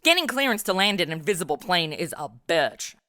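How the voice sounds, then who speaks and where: Brash American superheroine: flippant, irreverent, lively swooping delivery, dry close sound, comic radio play. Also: female voice, on the low side, brisk, dry recording. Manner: sarcastic, irreverent, breezy. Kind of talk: comedy audio drama acting.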